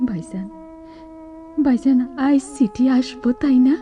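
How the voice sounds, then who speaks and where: A woman's voice: sits high, unhurried, dark tone, soft background music. An actress playing a rustic village woman full of longing in a radio drama, with a rural eastern Bengali dialect and a pleading, lyrical manner. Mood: wistful, hopeful.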